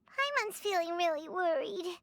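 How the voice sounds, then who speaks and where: Super high squeaky little fairy companion, bright and bouncy, frets a lot. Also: female voice, lively intonation, moderate pace, dry recording, full character voice. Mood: worried. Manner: speaks of herself in third person, anxious and pleading. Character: tiny excitable floating fairy-like girl companion. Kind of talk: game cutscene voice acting.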